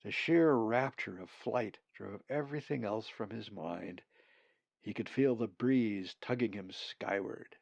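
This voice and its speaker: Male author-narrator for a storybook audiobook: gravelly, measured pace, dry and slightly dull sound. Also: lowish voice, darkish tone, no echo. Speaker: author reading own novel aloud.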